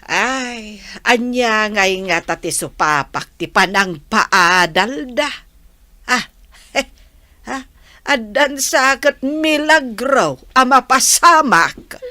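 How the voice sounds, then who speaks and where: Woman doing nosy filipino village mom, slow drawn-out exclamations, really sing-song, middle aged, comedy radio drama. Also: a little high, animated, pushed and bright, dry recording, full character voice. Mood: surprised, nosy. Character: excitable nosy village mother, folksy and scheming.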